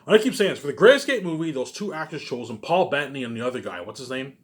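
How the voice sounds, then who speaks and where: A man's voice: medium pitch, animated, fast. A man giving a rambling, informal monologue in a boastful, repetitive, emphatic way, swearing now and then. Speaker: vlogger.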